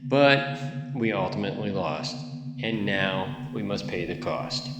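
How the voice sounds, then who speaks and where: A man's voice: sits low, lively intonation, unhurried, a bit bright. A man playing a grave, hopeful old refugee elder and grandfather in an audio drama, solemn and earnest. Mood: grave, worried. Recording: echoey hall reverb, faint music.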